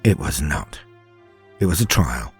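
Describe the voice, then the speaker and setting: A man playing a frightened, haunted far-future soldier, telling his horror story in a dramatic first-person monologue with brooding pauses and shifts from hushed to emphatic. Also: rumbling low, animated, husky, soft background music, full character voice. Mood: grim recollection. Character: frightened, haunted far-future soldier, former factory worker, recalling terrors.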